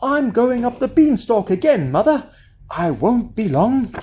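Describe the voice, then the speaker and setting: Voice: medium pitch, very animated, a little slow, darkish tone, slightly rough, voice pushed up. A storyteller voicing a cheeky, confident, restless boy in a children's fairy tale.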